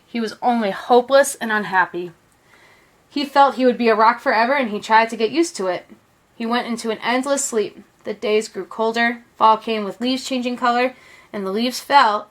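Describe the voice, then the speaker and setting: A woman reading a children's picture book aloud, with expressive, deliberate storytelling phrasing. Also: fairly high pitch. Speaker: storybook reader.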